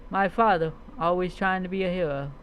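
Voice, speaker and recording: A streamer narrating a fantasy adventure tale in a dramatic storytelling style, halting and often stumbling over and repeating words. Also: mid-range voice, muffled recording, slight background noise. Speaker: streamer narrating own roleplay character's story.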